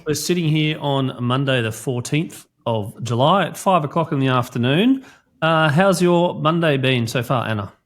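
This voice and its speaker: A man's voice: a little high, lively intonation, moderate pace. A man hosting an interview podcast, asking loose, friendly questions with fillers. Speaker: podcast host.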